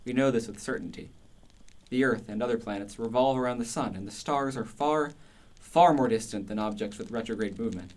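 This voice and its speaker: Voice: medium pitch, fairly quick, a little mellow, natural voice. An actor playing a passionate, contrarian older philosopher in a radio play, declamatory and emphatic. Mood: fervent.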